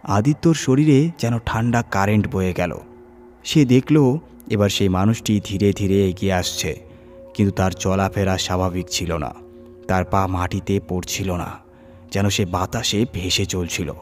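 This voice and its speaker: Horror narrator and host: male, low-pitched, dramatic scripted reading over music. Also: steady tone, measured pace.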